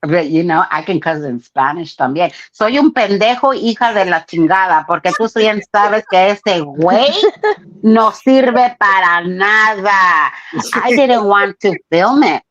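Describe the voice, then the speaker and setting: Woman doing a slow crude valley muchacha, put-on mexican accent, deep voice, muffled audio. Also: lively intonation, a bit bright. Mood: boastful. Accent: Mexican-accented English, put on. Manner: drawling, sing-song, crude cussing. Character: brash valley-girl Mexican woman who cusses a lot.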